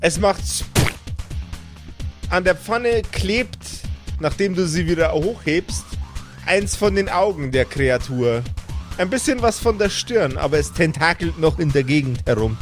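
Give me a man running a tabletop role-playing game as game master. His voice sits a little high and swings about animatedly as he improvises playfully. Music plays behind him. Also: animated, measured pace. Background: background music.

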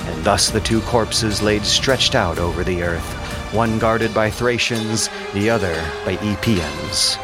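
A man narrating and hosting a scripted audio production in a measured, prepared delivery. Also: on the low side. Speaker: narrator and host. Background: music.

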